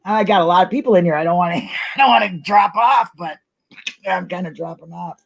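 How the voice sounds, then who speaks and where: A woman's voice: lowish voice, a little fast, vocal fry. A woman hosting a casual live stream in a playful, chatty way.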